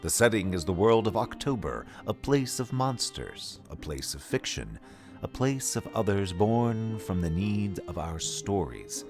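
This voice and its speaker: A man with a fairly low voice narrates a trailer from a script. Plucked guitar music plays behind him as he presents the story's setting. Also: sing-song, measured pace.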